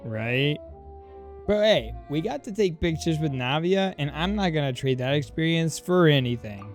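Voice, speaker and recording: Very low-voiced woman reacting to a game. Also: lively intonation, normal speed. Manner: slangy, informal. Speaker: streamer. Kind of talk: casual gameplay reaction commentary. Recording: game music behind.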